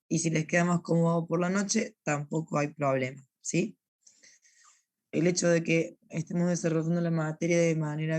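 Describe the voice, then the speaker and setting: A young woman with a hoarse voice from a recent sore throat, recording an online university class and patiently checking in with her students. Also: sits low, dry recording. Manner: patient, repeats points and checks in with "¿sí?". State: hoarse with a lingering cough. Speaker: university teaching assistant.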